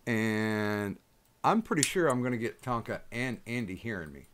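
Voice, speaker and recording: A man hosting a casual chat, loud and profane, with rambling restarts. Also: lowish voice, lively intonation, moderate pace, a little hiss or hum. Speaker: host.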